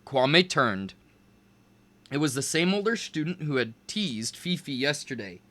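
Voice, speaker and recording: A man reading a children's chapter book aloud in an expressive storytelling way. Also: on the high side, a little slow. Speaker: read-aloud narrator.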